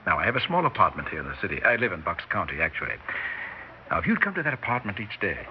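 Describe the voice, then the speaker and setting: An actor playing a smooth-talking, flattering theatrical con man, grandiose and persuasive, in a 1960s radio drama. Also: low voice, quick pace. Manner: grandiose, persuasive, falsely reluctant. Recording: faintly noisy, dull 1960s radio recording.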